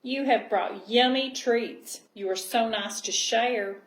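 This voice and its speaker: A woman voicing a gentle, downcast storybook bear in a plaintive way. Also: a little high, takes it slowly. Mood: apologetic, sad. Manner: plaintive, sighing. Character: gentle bear, downcast at having nothing to share. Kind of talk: storybook character reading. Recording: roomy echo, clear background noise.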